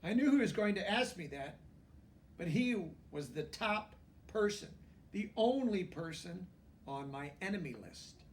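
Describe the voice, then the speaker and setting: High-voiced man reading a storybook, distant mic. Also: expressive, a little slow, a little hiss or hum. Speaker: storybook reader. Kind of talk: children's book read-aloud with brief asides.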